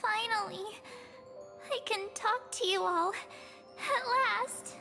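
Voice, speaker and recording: Very high girlish game heroine. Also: slow pace, vocal fry, pushed and bright, faint music behind, exaggerated voice. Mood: joyful. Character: cheerful teenage girl reuniting with her friends, sweet and playful. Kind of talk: video game voice acting.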